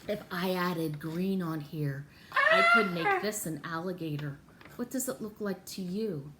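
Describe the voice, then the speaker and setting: A woman's voice: fairly low pitch, huge pitch swings, unhurried, heavy background noise. A woman teaching and storytelling for small children, talking in an exaggerated sing-song way with coaxing questions and repetition. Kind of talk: children's story time with craft demonstration.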